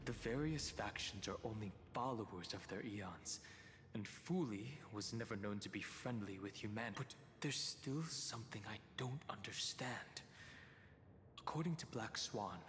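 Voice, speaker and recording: Man acting a low-voiced, grave analytical investigator. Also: fairly bright tone, long reverb tail, slight background noise, close to an everyday voice. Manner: measured, expository, serious. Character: grave, analytical male investigator and protective guide. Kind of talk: scripted video game acting.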